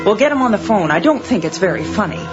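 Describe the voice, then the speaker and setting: A woman's voice: medium pitch, sing-song, quick pace, natural voice. An actress playing a bewildered, indignant professional woman in a 1980s radio drama, incredulous and insistent as she argues that she is right. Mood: indignant disbelief. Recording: slightly dull radio recording under loud music.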